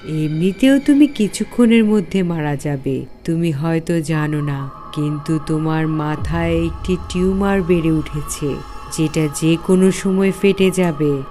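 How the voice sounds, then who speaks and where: A woman acts out a cruel female demon of the hair for a horror cartoon. She speaks low and very slowly, taunting and seductive, with menace in every phrase. Faint music plays underneath. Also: warm tone. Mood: coldly coaxing. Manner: slow, threatening, taunting delivery.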